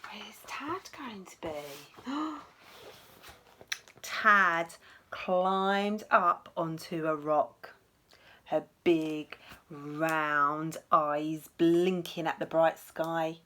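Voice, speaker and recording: A woman teacher reading a storybook aloud to young children in an expressive, dramatic way, with asides to the listeners. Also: sits low, very slow.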